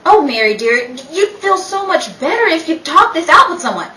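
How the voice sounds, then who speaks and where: A woman playing a meddling, gossipy high-society wife who feigns concern, gushing theatrically in a 1930s-style radio play. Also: sing-song, moderate pace, bright, forceful tone, full character voice. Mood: urgent meddling. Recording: echoey room, distant mic, faint noise.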